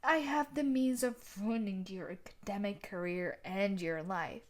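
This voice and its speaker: A woman's voice: mid-range voice, unhurried, close to an everyday voice. A woman playing a sadistic, possessive young student bully in an intimate audio roleplay, taunting and menacing, slipping into whispers. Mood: gloating.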